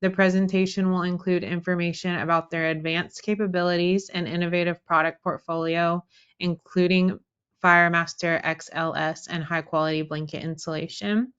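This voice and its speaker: A woman hosts the opening of a corporate webinar, reading a prepared welcome steadily and formally. Her voice is somewhat low and smooth. The sound is a little dull. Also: flat delivery, normal speed, fairly bright tone. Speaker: marketing specialist hosting a webinar. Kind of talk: scripted webinar introduction.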